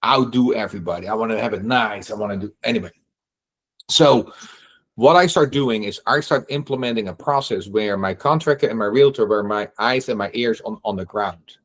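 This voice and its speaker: A middle-aged man from the Netherlands with a Dutch accent, answering questions at a real estate meetup in an animated way, acting out quotes and often asking "right?". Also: medium pitch. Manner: animated, acts out quotes, frequent restarts and "right?". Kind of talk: casual Q&A talk.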